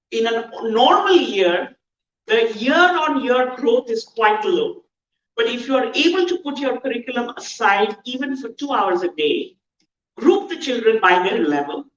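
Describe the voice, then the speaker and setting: Indian woman keynote speaker, fairly high voice, earnest and measured, distant dull hall sound. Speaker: conference speaker. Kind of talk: conference keynote talk. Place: large conference hall.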